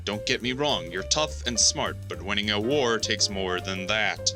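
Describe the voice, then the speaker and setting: A man playing a gruff, blunt chief engineer and former boxer in an audio drama. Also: fairly low pitch, a little slow, music in the background, natural voice. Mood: firm.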